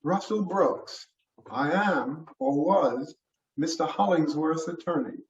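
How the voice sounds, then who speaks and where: A man voicing a measured, formal, distinguished male attorney in a mystery story reading. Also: a little high. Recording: roomy, muffled, distant mic.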